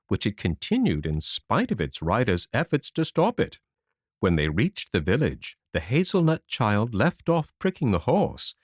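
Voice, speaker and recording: Man reading fairy tales with very animated pitch. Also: no echo, clean studio sound. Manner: animated storytelling. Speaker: audiobook narrator. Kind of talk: expressive children's fairy tale narration.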